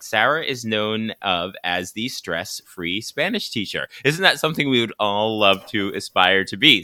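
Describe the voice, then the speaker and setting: A man hosting a teaching podcast, upbeat and chatty, his voice a little hoarse from shouting the night before. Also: lowish voice, dry recording, clean studio sound. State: raspy voice from shouting at a school dance. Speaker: podcast host. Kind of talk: podcast interview.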